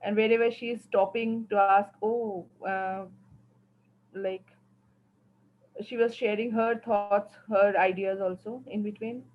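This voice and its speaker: A woman's voice: mid-range voice, steady tone, unhurried. A woman with an Indian accent giving reflective feedback as a participant in a workshop. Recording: tinny phone-like call, some background noise.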